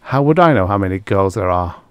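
A man's voice: sits low, expressive, a little fast, clean tone, no room sound. A man voicing a wary young man in an audiobook story, guarded, tense and evasive as he bluffs. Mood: stalling, tense.

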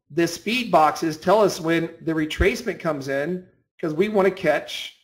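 A man giving an informal instructional talk, explaining emphatically with repetition and rhetorical questions. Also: on the high side. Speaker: trading instructor. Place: voice-over on a screen recording.

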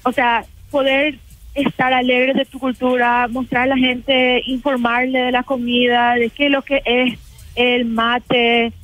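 A woman's voice: sits high, a bit bright, no room sound, poor audio. Young woman talking hesitantly by phone. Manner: hesitant, mixing in English words. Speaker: café owner, phone guest. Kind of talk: casual phone interview. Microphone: phone line.

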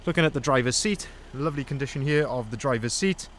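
A man's voice: medium pitch, fast, fairly bright tone, slight background noise. A young man, a van sales manager, doing an upbeat walkaround review, recorded on a handheld phone outdoors at a dealership lot. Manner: upbeat salesman pointing out features and flaws. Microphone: handheld phone camera, filming first-person.

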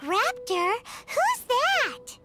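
Tiny childlike fairy sidekick, anime game, crazy high squeak, drawn out words. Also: female voice, wildly swooping pitch, extremely slow, music in the background, heavily acted. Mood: startled, curious. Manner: squeaky, exclamatory. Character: tiny excitable childlike floating fairy companion, female. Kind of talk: anime video game voice acting.

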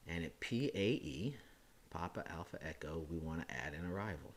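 A man, hoarse from a chest cold, hosting a screen-recorded flight simulator how-to, talking it through casually with self-corrections and filler sounds. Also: sits low, moderate pace. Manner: relaxed, thinking aloud with frequent uh and self-corrections. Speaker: flight sim hobbyist host.

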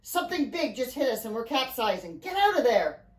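A woman's voice: high-pitched, very animated, a little fast. A woman reading a storybook as a commanding father who barks urgent orders in danger. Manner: shouted orders. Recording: roomy, echoing space.

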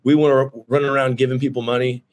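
A man of thirty-six in casual video-call chat from his office, brash and excitable, swearing freely and calling people dude and bro. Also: medium pitch, fast talker. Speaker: roofing company owner and host. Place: office with shelves.

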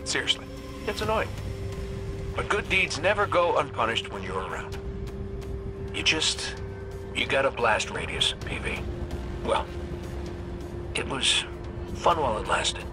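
An actor playing a cocky, wisecracking robot gunslinger in a video game, with an American accent and a wry, folksy, sardonic way of talking. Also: male voice, mid-range voice, lively intonation, measured pace, pauses often, over music, close to an everyday voice. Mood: wry, needling. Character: cocky wisecracking male robot gunslinger, roguish hunter leader. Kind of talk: video game voice acting, recorded farewell messages.

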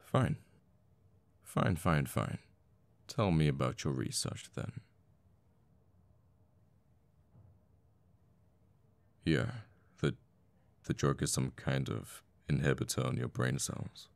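Man acting a very deep-voiced assassin boyfriend. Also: slow pace, creaky, no room sound, exaggerated voice. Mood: grudging, attentive. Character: conflicted young male assassin boyfriend, protective and tender. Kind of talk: intimate ASMR audio roleplay.

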